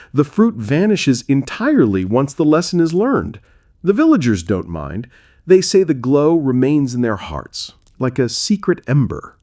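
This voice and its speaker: Slow-paced man narrating a bedtime story. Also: medium pitch, a little mellow. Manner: soothing, measured, unhurried delivery. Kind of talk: scripted bedtime storytelling with folklore asides.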